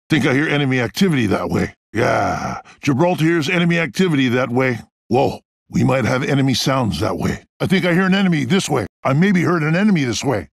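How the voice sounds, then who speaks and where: An actor playing a big, easygoing, confident protector giving good-humored callouts in a video game. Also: male voice, on the high side, vocal fry, dry recording, clean studio sound.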